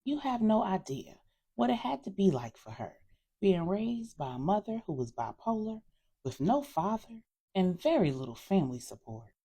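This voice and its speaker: A woman voicing a reasoning grown sister in an audio story, measured, earnest and gently firm. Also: mid-range voice, sing-song, slightly rough. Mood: earnest, compassionate.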